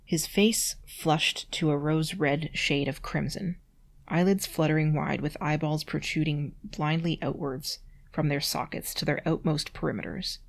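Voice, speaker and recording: A woman's voice: deep voice, steady tone, vocal fry. A woman reading a text aloud carefully, breaking off for dry, sarcastic commentary. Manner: dry sarcastic asides between passages; careful, deliberate reading of awkward prose. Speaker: reader and host.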